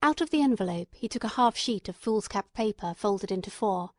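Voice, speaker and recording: A woman reading scripted literary narration as an audiobook narrator, in a measured, deliberate manner. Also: mid-range voice, expressive, no echo, studio quality.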